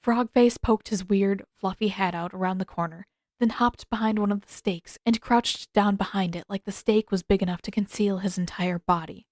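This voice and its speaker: A woman narrates fiction in a scripted audiobook style. Her voice sits at an average pitch for a woman. The sound is dry, with very little room to it. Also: fairly even intonation, normal speed, a little mellow, no room sound.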